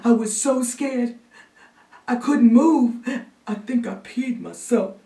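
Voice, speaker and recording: A woman's voice: on the high side, slow pace, gravelly, warm tone, pitched above the performer's own voice. A woman voicing a terrified, frantic nine-year-old boy in a theatrical monologue. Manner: frantic, childlike. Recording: roomy echo, distant microphone.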